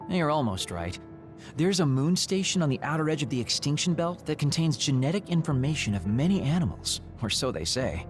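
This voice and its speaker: Give a measured, knowledgeable man from a sci-fi anime game. His delivery explains carefully yet moves widely in pitch, with music playing clearly underneath. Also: sing-song. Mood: thoughtful, measured. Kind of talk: English game dub acting, explanatory dialogue. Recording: music behind the voice.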